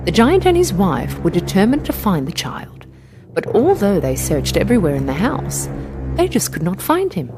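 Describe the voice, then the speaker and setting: Female storyteller for children's tales: very animated, swooping intonation, mid-pitched, music bed underneath. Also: moderate pace. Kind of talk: scripted children's story narration. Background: background music.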